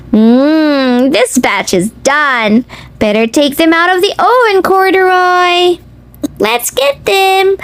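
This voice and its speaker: A woman reading a picture book aloud to young children in a sing-song, exclamatory way, speaking directly to them. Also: extremely high pitch. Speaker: children's read-aloud host.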